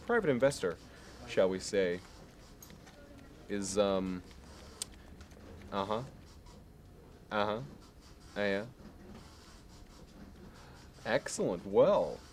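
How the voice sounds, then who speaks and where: A man playing a smug, fastidious investment banker in an ASMR roleplay, with smooth, measured, fussy sales talk. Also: mid-range voice, a little mellow. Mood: chummy. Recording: clear background noise.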